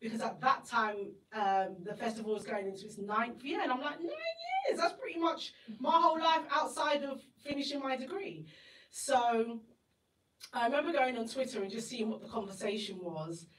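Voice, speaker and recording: A woman's voice: a little high, moderate pace, a bit bright. Chatty woman in an echoey room. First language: Igbo. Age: 30s. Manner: chatty, laughs often, acts out her own inner voice. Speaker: festival guest speaker. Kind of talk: animated interview storytelling. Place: event venue indoors. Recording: echoey room, mic at a distance.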